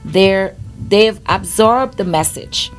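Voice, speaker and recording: A woman's voice: mid-range voice, slow. Chatty Guyanese woman hosting a podcast. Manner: chatty, laughs often, restarts phrases. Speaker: podcast co-host. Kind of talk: casual podcast chat.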